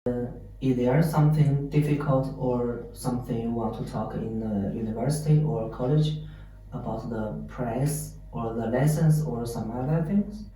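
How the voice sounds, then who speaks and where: A host asks questions in English haltingly, with frequent "uh" pauses. The voice is very dark in tone. It sounds far from the mic in a strongly echoing room. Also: mid-range voice, slight background noise. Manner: hesitant, many "uh" pauses. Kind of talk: interviewer asking questions in English. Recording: echoey room, mic at a distance.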